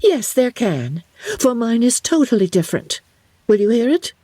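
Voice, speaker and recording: A woman voicing a spirited, sharp-witted young gentlewoman for an audiobook, frank and assured with a wry edge. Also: a little high, big pitch swings, normal speed, no echo, pitched above the performer's own voice. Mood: firm, confident. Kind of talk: dramatic audiobook character reading.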